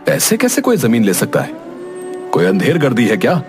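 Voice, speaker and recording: A man playing a kindly, concerned village priest with a measured manner in an animated moral story. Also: mid-range voice, faint music behind.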